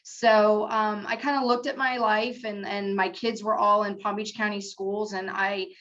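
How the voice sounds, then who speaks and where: Middle-aged woman, earnest and halting. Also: medium pitch, flat delivery, fairly bright tone, highs cut off. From South Florida. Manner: earnest, hesitant with many fillers. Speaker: school district HR manager, panelist. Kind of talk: career panel talk.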